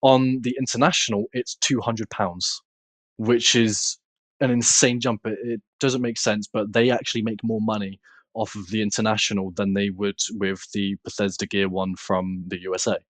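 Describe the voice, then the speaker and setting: A man's voice: fairly low pitch, level tone, moderate pace, dry recording. A man with a British accent chatting casually as a podcast guest and content creator. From United Kingdom. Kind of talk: casual chat.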